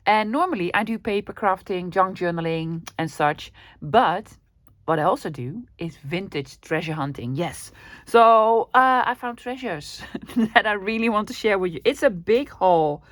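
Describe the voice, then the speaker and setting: A woman's voice: mid-range voice, lively intonation, measured pace, dry recording. A woman from the Netherlands with a Dutch accent, chatting upbeat and casually in a crafting haul video, with small laughs and ums. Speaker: crafting vlogger. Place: home room at the end of the day.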